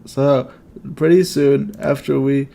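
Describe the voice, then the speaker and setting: Male host, mid pitch, rambling self-deprecating banter, faint hiss. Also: fairly even intonation, slow pace, vocal fry, mellow. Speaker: podcast host. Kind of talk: casual podcast chat.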